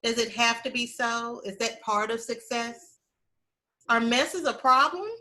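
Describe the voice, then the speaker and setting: A woman reading a children's picture book aloud expressively, with deliberate phrasing, dramatic pauses and emphasis. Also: on the high side, sharp tone, rough recording.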